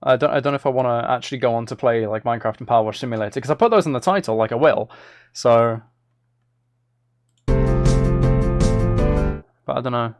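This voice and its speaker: A man's voice: mid-range voice, fairly quick, long pauses. A man streaming casually while making music, thinking aloud to himself and often trailing off mid-thought. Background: synth and drum loops from music software, clicking.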